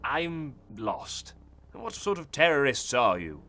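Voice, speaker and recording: A man playing a proud, defiant gentleman employer in a radio play, indignant and formal. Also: mid-range voice, big pitch swings, takes it slowly, exaggerated voice. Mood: nervous defiance.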